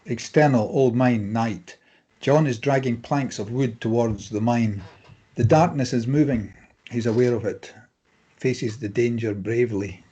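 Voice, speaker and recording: A man reads scripted stage directions in measured, clipped phrases. His voice is dark and mellow with a husky grain, at an average male pitch. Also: highs cut off. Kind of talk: scripted narration of screenplay directions.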